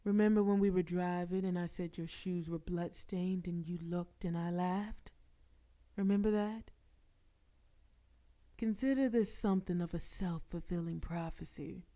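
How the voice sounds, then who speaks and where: Flirty female mafia boss, slow and mellow, as a woman plays her, teasing tone, audio roleplay. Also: on the low side, fairly even intonation. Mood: focused. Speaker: voice actress.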